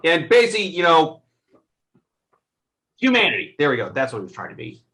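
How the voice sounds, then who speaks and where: A man co-hosting a casual film review, talking in a halting way as he searches for his words. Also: a little high, huge pitch swings, a bit bright, low-quality recording.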